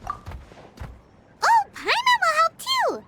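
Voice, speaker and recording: A woman voicing a tiny, cheerful fairy companion in a video game, with a squeaky childlike voice and perky manner. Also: huge pitch swings, drawn-out words, sharp tone, full character voice. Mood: excited. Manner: perky, speaks of herself in third person. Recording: music playing behind the voice.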